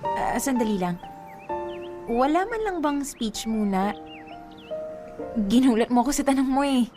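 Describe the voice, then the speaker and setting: A woman's voice: fairly high pitch, lively intonation, unhurried, music in the background. A woman playing a sweet, playful, superstitious young girlfriend in a Tagalog radio drama, with a Filipino accent. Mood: teasing surprise.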